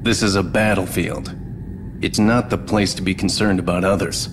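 Cold loner young warrior in a game, deep flat brooding voice, noisy background. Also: male voice, moderate pace. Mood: cold, dismissive. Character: aloof young lone warrior, guarded and cold. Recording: game audio over ambient noise.

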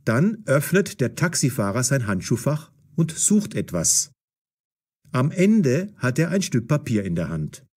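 Animated, slightly husky male narrator. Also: mid-range voice, a little slow. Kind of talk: scripted story narration.

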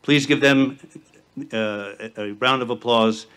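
A middle-aged man addresses a graduating class from an outdoor podium. He speaks in a measured, formal cadence, building rhythmic lists. His voice sits at an ordinary mid pitch. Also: steady tone, a bit bright, clear, resonant tone. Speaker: headmaster. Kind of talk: commencement address. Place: outdoor stage at a campus ceremony. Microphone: podium microphone with PA.

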